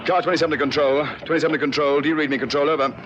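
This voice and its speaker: Male actor as sergeant, authoritative, clipped, radio drama, dull recording, loud car noise behind. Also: on the high side, fairly even intonation, lightly acted. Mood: brisk. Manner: brisk, authoritative, clipped orders. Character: tough, commanding police sergeant in pursuit. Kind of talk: 1960s radio drama acting.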